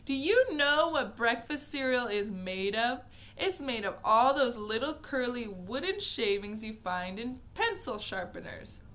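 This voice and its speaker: A woman voicing an eccentric, excitable male chocolate maker who talks theatrically. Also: fairly high pitch, unhurried. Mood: disgusted. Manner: theatrical, exclamatory.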